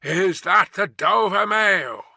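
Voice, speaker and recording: A man voicing a hoarse, plain-spoken messenger in a storybook reading, calling out slowly and insistently. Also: on the high side, bright, forceful tone, no echo, studio quality, voice pushed up, exaggerated voice.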